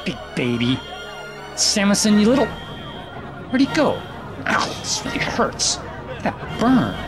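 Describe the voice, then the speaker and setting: An actor playing a cocky high school bully in an audio drama, taunting and slangy. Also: male voice, big pitch swings, slow pace, full character voice. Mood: pained, angry. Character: cocky bullying male high school student, brash, goading. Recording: loud music and crowd behind.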